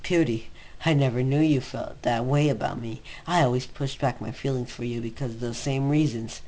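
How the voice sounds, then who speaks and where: A man's voice: medium pitch, steady tone, moderate pace, darkish tone, natural voice. A man voicing an astonished young man who questions his friend earnestly, in a fan fiction reading with background noise. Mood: tender. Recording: noisy home recording.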